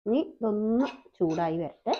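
A woman's voice: medium pitch, lively intonation, unhurried, a little hiss or hum. Woman, cooking voice-over, friendly explainer, slightly dull lo-fi sound. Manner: chatty, explains each step, frequent tag questions. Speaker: cooking channel host.

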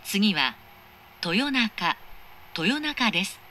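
A woman makes formal recorded train announcements. Her delivery is slow and carefully articulated, with a bright tone. Faint carriage noise runs behind her. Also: mid-range voice, very animated. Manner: formal, measured, precise enunciation. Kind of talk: scripted automated station announcement. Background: moving train interior noise.

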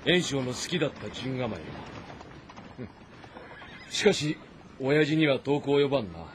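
A stern, shrewd warlord from a historical game drama. His pitch moves widely as he issues terse, authoritative orders. Faint ambient noise sits behind the voice. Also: big pitch swings, unhurried. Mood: stern, calculating. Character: stern, shrewd warlord commanding his army, instructing his son.

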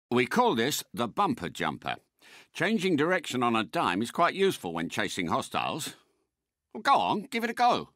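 An actor playing a suave, courteous British spy car in a video game, a polite and unflappable mentor with refined, precise phrasing. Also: male voice, medium pitch, pushed and bright, no echo, clean studio sound. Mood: encouraging. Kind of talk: scripted game character voice lines.

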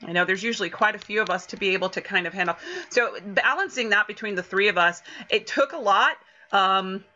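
A middle-aged woman chatting in an animated way on a video-call panel about her production work. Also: mid-range voice, fairly quick, a little hiss or hum. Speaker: theater production crew member. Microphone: computer mic on a video call. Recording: dull video-call audio.

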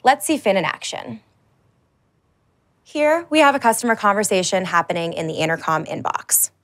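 A woman in her thirties presents a product in a scripted marketing style. Her voice sits at a middle pitch. She enunciates clearly, with a polished and upbeat delivery. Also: sing-song, normal speed, fairly bright tone. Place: large dark indoor studio stage.

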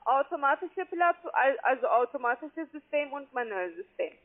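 A woman with a foreign accent giving a halting, formulaic sales pitch as a call centre agent. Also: very animated. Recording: tinny narrow-band phone line.

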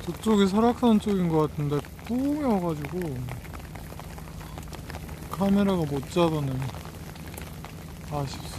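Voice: medium pitch, animated, unhurried, pauses often. A travel vlogger commenting casually and spontaneously while sightseeing, musing to themselves with murmured exclamations. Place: outdoors on a bridge and harbour waterfront in rain. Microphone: handheld phone or camera held by speaker. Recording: noisy outdoor phone recording, rain and traffic.

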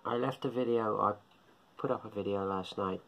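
A man's voice: lowish voice, normal speed, fairly bright tone, low-quality recording. A middle-aged man, talking casually to camera in a vlog, rambling and musing aloud and repeating himself. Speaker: shortwave radio hobbyist vlogger. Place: small indoor living room. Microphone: phone or webcam at close range.

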